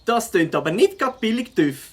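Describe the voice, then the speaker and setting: A woman's voice: fairly high pitch, very animated, moderate pace, slightly rough. A woman voicing a level-headed, sceptical young beaver boy in a cartoon. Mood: easygoing. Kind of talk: cartoon character acting.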